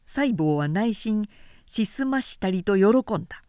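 A woman's voice: medium pitch, expressive, a little slow, dark tone, no echo, clean studio sound. A woman narrating a literary audiobook in a composed, dramatic storytelling manner.

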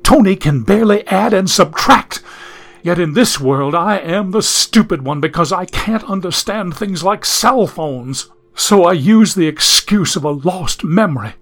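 A man's voice: high-pitched, animated, unhurried, fairly bright tone, exaggerated voice. A man reading an audiobook, voicing a genteel young woman from the 1870s who is anxious, prim and lost in the modern world. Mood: troubled. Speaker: audiobook narrator.

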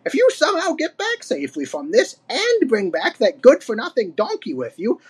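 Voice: mid-range voice, huge pitch swings, normal speed, breathy edge, voice pushed up. A storyteller voicing a cranky, boastful old male ape in a storybook, mocking and grumbling. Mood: grudging, shrewd. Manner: snorting, grumbling, scornful. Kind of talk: storybook character reading.

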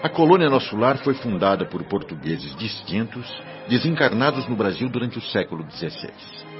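An actor playing a kindly, earnest spirit guide who explains things reverently in radio soap style. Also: male voice, lowish voice, big pitch swings. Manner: didactic, reverent, measured. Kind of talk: Brazilian radio soap acting. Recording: dull radio drama with music behind.

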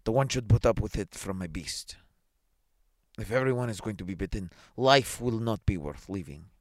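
A man's voice: fairly low pitch, lively intonation, normal speed, dry recording, studio quality, lightly acted. Half-drunk tradesman acted by a man, aggrieved, deferential yet indignant, storybook reading. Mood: aggrieved pleading. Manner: pleading, indignant, deferential to authority. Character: aggrieved half-drunk goldsmith, whining and self-righteous. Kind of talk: dramatised short story reading.

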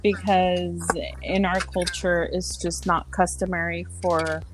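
Relaxed young woman, casual interview. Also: fairly low pitch, takes it slowly, over music, low-quality recording. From San Diego, California. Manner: relaxed, with frequent fillers and soft laughs. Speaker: podcast guest, social worker.

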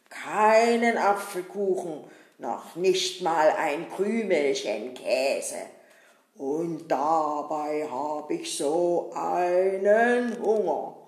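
A gruff, greedy robber bellows and grumbles through a puppet show, played by a woman. He speaks slowly with heavy, angry emphasis. The recording has a roomy echo. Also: on the low side. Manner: loud, grumbling, angry blustering.

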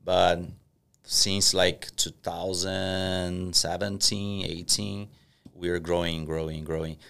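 A Brazilian man whose first language is Portuguese, a professional poker player talking as a guest in a casual podcast interview, speaking English with a Brazilian accent, halting, with fillers and easy laughs. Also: deep voice, level tone, gravelly, fairly bright tone, clear, resonant tone.

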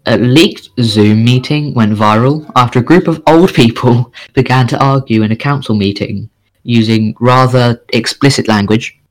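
Male podcast co-host, jokey quizmaster delivery, mid-pitched, casual. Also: moderate pace, poor audio. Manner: jokey, reads questions like a bingo host. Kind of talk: casual chat, quiz-style news reading.

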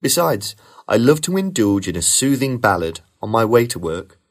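A man reading rehearsed model answers for a speaking test in a smooth, even way. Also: mid-range voice, moderate pace. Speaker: sample test candidate.

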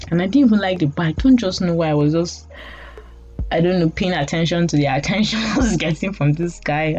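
A woman's voice: low voice, a little mellow. A woman in her late twenties with a Nigerian accent, hosting a podcast as a rambling, self-mocking monologue broken up by frequent laughs. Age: late 20s. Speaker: podcast host. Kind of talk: casual storytelling monologue. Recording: dull lo-fi audio with faint music.

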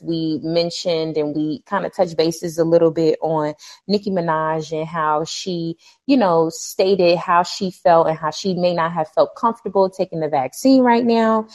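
Outspoken low-voiced podcast co-host woman. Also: darkish tone. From Brooklyn. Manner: outspoken, emphatic, preachy asides. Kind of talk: casual chat.

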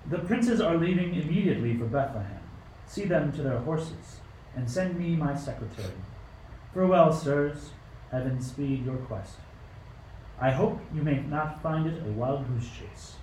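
A commanding, scheming old king of radio drama, acted by a man. He speaks in a mellow, deliberate, regal way. The sound is roomy and a little distant, with faint noise behind it. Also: on the high side, lively intonation. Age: older. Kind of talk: amateur radio play acting. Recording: roomy, distant mic with background hiss.

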